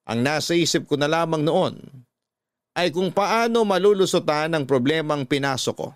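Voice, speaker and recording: A man's voice: a little high, moderate pace, no echo, clean studio sound. Earnest Filipino male radio storyteller. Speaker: radio host reading a listener's letter. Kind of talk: dramatic first-person radio storytelling.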